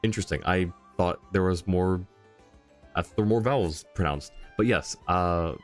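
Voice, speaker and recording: Low-voiced man, casual game livestreamer. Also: normal speed. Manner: chuckling, wry, conversational. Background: faint game music.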